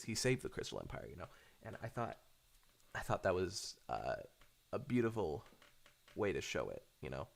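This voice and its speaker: Low-pitched, gravelly man rambling casually. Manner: hesitant, halting, repeats words as they think aloud. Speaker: host of a cartoon fan channel. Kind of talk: casual unscripted rambling fan commentary.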